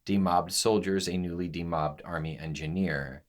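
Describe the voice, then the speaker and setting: Low voiced guy on a chill podcast, laughs a lot, easygoing. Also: fairly even intonation, normal speed. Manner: easygoing, laughs often, reads definitions aloud. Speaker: podcast co-host. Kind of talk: casual chat about song lyrics.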